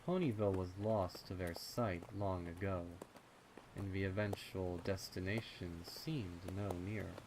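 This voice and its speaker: Slow, low-voiced male storyteller. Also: steady tone, noisy background. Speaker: fan fiction narrator. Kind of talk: scripted dark storybook narration. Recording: clear night-insect ambience behind. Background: crickets and night insects.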